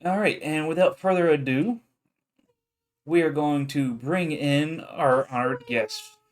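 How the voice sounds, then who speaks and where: A man hosting a livestreamed podcast in casual chat, relaxed and stumbling over his first words. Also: on the high side, slow pace. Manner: relaxed, repeats words when starting sentences. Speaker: podcast host.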